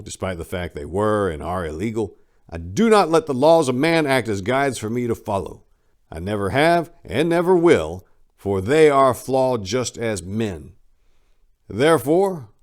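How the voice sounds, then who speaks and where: Male narrator, lowish pitch, conversational yet emphatic delivery for a dramatic memoir reading. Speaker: reader of an autobiography he edited. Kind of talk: dramatic audiobook-style reading.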